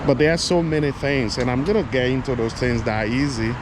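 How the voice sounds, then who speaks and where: Man, Liberian accent, rambling walking vlog, outdoors, loud traffic noise behind. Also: mid-range voice, fairly even intonation, brisk. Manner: loose and rambling, restarts often. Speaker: vlogger. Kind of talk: casual walking vlog talk. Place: outdoors on city streets. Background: traffic and city street sounds.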